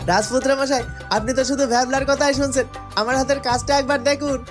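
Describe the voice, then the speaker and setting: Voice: high voice, fairly even intonation, brisk, over music, pitched above the performer's own voice, natural voice. A woman voicing a young, good-natured and eager village lad in a cartoon fairytale. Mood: proud, eager. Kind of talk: cartoon character acting.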